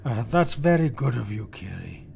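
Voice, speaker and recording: An actor playing a panicked, guilty husband in 1940s radio horror style, melodramatic, stammering and dropping into breathless whispers. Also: male voice, medium pitch, very animated, moderate pace, vocal fry, a little hiss or hum. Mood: tense, guarded. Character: panicked guilty husband confessing his crime. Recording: old 1940s radio broadcast, loud music behind.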